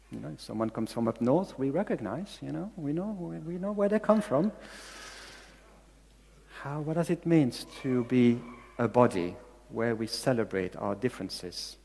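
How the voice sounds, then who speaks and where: A man with a Belgian accent preaches in English, his second language, with an earnest delivery that leans on repeated phrases. His voice sits at an ordinary middle pitch. The sound carries a long reverb, as in a large hall. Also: gravelly, dark tone, slightly rough. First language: not English. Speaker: pastor. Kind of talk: sermon.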